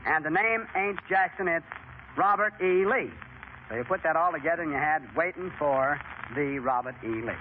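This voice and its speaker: A man's voice: on the high side, animated, moderate pace, narrow, tinny audio. A man hosting a 1930s radio quiz with chatty showmanship, heard on a scratchy broadcast.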